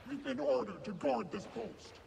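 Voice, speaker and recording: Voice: medium pitch, lively intonation, moderate pace, vocal fry, background noise. An actor voicing a rigid, obedient battle droid in a video game, clipped and emotionless, through a robot filter. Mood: stern, robotic. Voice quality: electronic, grating.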